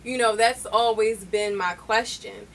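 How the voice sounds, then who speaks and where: A woman's voice: mid-range voice, steady tone, measured pace, fairly bright tone, distant mic, slight background noise. Tearful woman speaking from off-mic. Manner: emotional, speaks in short affirmations. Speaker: beauty store co-owner. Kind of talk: casual chat.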